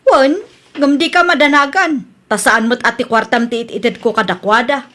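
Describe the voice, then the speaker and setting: A woman's voice: fairly high pitch, moderate pace, fairly bright tone, lightly acted. A woman acting a bossy, exasperated wife and mother who runs the household money in a radio drama. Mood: defensive.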